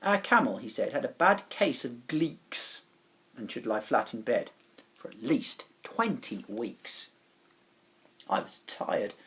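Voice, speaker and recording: A man who is a teacher reads a children's story aloud in an expressive, dramatic way with pauses and emphasis. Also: mid-range voice.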